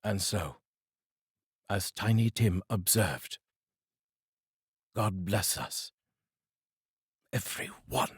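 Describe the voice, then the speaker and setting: A man from the United Kingdom giving a theatrical, expressive reading of a classic story as narrator. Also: fairly low pitch, drawn-out words, long pauses, husky, no echo, clean studio sound. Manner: theatrical and expressive, savouring phrases. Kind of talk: dramatic audiobook reading.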